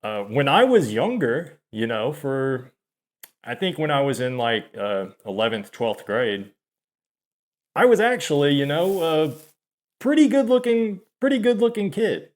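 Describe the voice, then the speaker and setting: A man in his thirties talking to camera in a confessional monologue, halting and hesitant, often trailing off and restarting, soft-spoken and self-deprecating. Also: a little high, big pitch swings. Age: 30s. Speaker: channel host.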